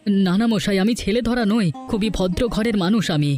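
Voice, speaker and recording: A proud, homeless village father from a cartoon folk tale , acted by a man. His voice sits a bit high and he declaims earnestly. Light music plays underneath. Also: moderate pace, a little mellow, close to an everyday voice. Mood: offended. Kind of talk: Bengali cartoon folk-tale dialogue acting.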